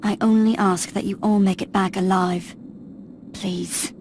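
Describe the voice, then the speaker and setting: An actress playing a noble, righteous princess in a game cutscene, earnest, formal and dramatic. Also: female voice, medium pitch, flat delivery, a little slow, lightly acted. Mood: solemn, pleading. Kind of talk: video game cutscene acting. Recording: background noise and ambience.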